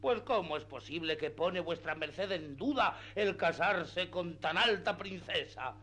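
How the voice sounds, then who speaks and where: A man's voice: on the high side, animated, a little slow, bright, forceful tone, full character voice. An actor playing a simple, greedy peasant squire in a comic radio drama, exclamatory and rustic in his protests. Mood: indignant, pleading.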